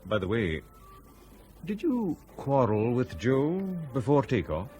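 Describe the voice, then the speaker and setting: An actor playing a senior fleet pilot in a radio drama, measured and sceptical, reasoning deliberately with sardonic emphasis. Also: male voice, medium pitch, huge pitch swings, warm tone, soft background music. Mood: hesitant.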